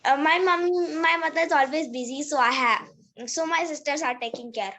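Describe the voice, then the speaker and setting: A woman's voice: high-pitched, normal speed, pushed and bright. A girl with an Indian English accent and Hindi as her first language, practicing English conversation hesitantly on a video call. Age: young. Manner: hesitant, searching for words. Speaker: student.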